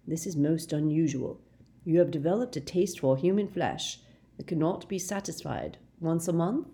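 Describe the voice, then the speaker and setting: A woman voicing a very old, wise male headmaster who speaks calmly and quietly. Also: sits low, moderate pace. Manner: calm, quiet, gently dry.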